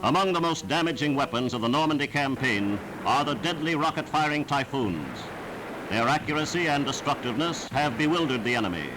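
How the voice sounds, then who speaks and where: A man's voice: medium pitch, animated, a little fast, poor audio. A man reading scripted 1940s wartime newsreel narration in a formal, dramatic, declamatory manner. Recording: vintage 1940s newsreel soundtrack.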